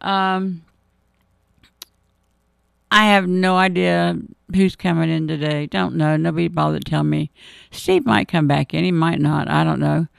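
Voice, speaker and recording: A hoarse middle-aged woman hosting a radio show, chatting in a rambling, homespun way with many fillers. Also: low-pitched, fairly even intonation, a little slow, pauses often, no room sound, clean studio sound. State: hoarse, froggy voice. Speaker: radio host. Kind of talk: casual on-air chat.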